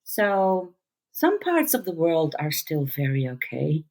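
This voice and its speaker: Low-voiced Dutch-speaking woman in her fifties. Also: very animated, a little mellow. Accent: mixed English and American, non-native. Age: 50s. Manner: reflective, halting with fillers and restarts. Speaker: interview guest, musical theater actor. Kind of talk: casual conversational interview.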